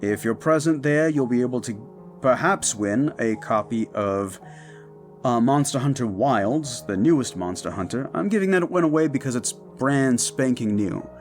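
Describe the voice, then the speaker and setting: A man's voice: mid-range voice, expressive, normal speed, faint music behind. A man who makes audio roleplays, speaking in an upbeat, informal way as he gives a casual announcement to his followers.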